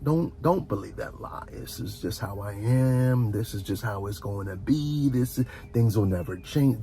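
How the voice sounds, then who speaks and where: A man's voice: fairly low pitch, vocal fry, dark tone, slight background noise. A man giving a rambling, motivational ASMR talk in an earnest, encouraging way, repeating phrases for emphasis. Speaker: ASMR video host.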